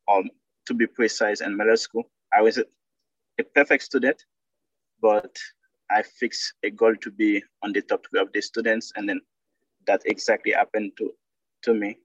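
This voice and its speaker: A man in his thirties from Haiti with a Haitian accent, speaking earnest, halting English as a guest on a podcast. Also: lowish voice, a bit bright, no echo, muffled recording. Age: 30s. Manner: earnest, halting non-native English with simple phrasing. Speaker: doctoral student guest. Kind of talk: podcast interview.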